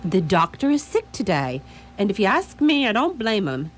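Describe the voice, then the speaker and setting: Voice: mid-range voice, sing-song, moderate pace, a little hiss or hum, natural voice. A performer playing a cheeky, vain, folksy servant in a radio play, scolding and easily flustered. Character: Swales, cheeky, chatty, vain servant attendant. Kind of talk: radio theatre acting.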